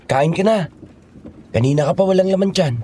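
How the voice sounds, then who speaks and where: A man's voice: high voice, moderate pace, mellow, slight background noise, close to an everyday voice. A man playing a resigned, caring hired cook in an audio drama, with a Filipino accent.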